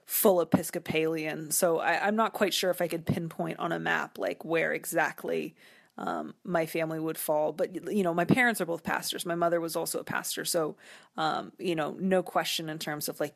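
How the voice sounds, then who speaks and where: A woman's voice: sits low, gravelly, no room sound, studio quality. An American woman speaking as a guest in a casual, conversational interview, thoughtful and colloquial, peppering sentences with "like" and "you know". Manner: thoughtful, colloquial, frequent 'like' and 'you know'.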